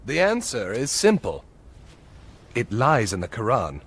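A man playing an earnest, assertive Arabian counsellor in an animated film, with an emphatic, declamatory delivery. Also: mid-range voice, unhurried, slightly rough, heavily acted.

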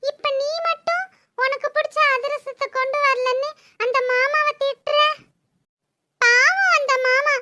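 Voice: very high-pitched, a little slow, sharp tone, dry recording, exaggerated voice. An actor voicing an innocent, chatty little boy in a cartoon, earnestly tattling. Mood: innocent, protesting. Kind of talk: Tamil cartoon voice-over acting.

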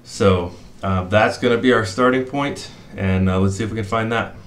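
A man's voice: on the low side, moderate pace, roomy sound, slight background noise. A middle-aged man walking viewers through a screen share from a desk in a small room, thinking aloud with lots of "um" and "uh". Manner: explanatory, thinking aloud with fillers. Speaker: fishing spot analyst.

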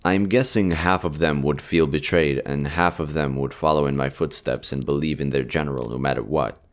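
A man acting a kind, earnest young army general in a romantic audio roleplay, hushed, halting and emotive. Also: extremely low pitch, steady tone. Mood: apologetic, sincere.

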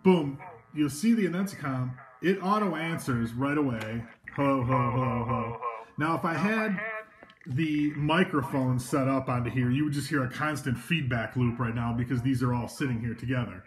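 A man's voice: medium pitch, normal speed. A man giving a casual, loose product demonstration indoors, talking from behind a handheld camera with many fillers and restarts. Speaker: equipment demonstrator. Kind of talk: informal product demo. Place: indoors at a table of devices.

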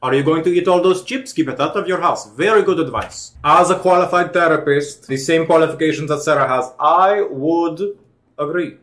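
A young man hosting a casual reaction video from home, speaking with sarcastic, deadpan mock-formal delivery into a headset mic. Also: on the high side, moderate pace. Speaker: host. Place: home room with bookshelves.

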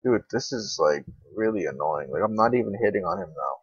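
Low-voiced young man, muffled lo-fi mic. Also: expressive, fairly quick, husky, dry recording. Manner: informal, grumbling, frequent swearing. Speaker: gaming video creator. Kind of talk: casual gameplay commentary. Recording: dull lo-fi microphone, dry.

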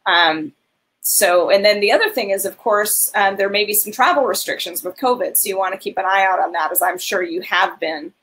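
A woman's voice: medium pitch, brisk, a bit bright, rough recording. A woman in her thirties on a video call, giving earnest, direct advice as a financial aid advisor in a webinar.

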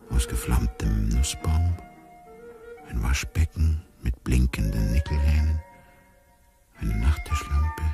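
An actor playing a bewildered amnesiac man who muses wryly and deliberately in a radio drama monologue. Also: extremely low pitch, full character voice. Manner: deliberate, musing, wry. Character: bewildered amnesiac man narrating his inner thoughts.

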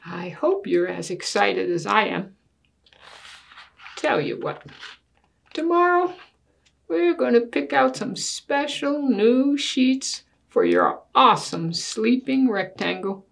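A woman's voice: fairly high pitch, very animated, slow pace, vocal fry, heavily acted. An older woman voicing a bossy, precocious little girl from a storybook who persuades her daddy in a pompous, mock-reasonable way. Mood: smugly cheerful.

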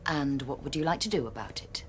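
A woman playing a brisk, coolly authoritative research doctor in a radio drama, speaking with clinical precision. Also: a bass voice, animated, a little hiss or hum. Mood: testing, controlled.

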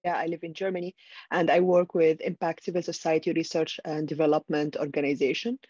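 A woman's voice: low voice, level tone, brisk, mellow. Syrian-born woman on a muffled video call. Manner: hesitant, many fillers. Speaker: panelist, researcher. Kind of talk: unscripted self-introduction. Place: home room with plants, indoors. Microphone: laptop webcam video call. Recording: dull lo-fi video call audio.

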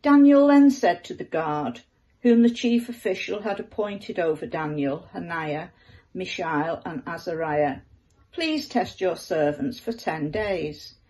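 An older woman, around her seventies, reading aloud from the Bible in a measured manner at home. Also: fairly low pitch, mellow, low-quality recording. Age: older, about 70s. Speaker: scripture reader. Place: living room at home.

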